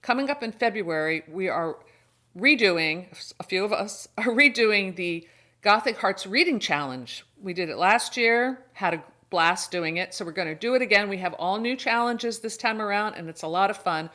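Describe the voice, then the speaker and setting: A woman with a mid-pitched voice hosts a casual vlog. She talks in a chatty, expressive way, often exclaiming and thinking out loud as if to friends watching. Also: moderate pace. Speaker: host of a horror book channel.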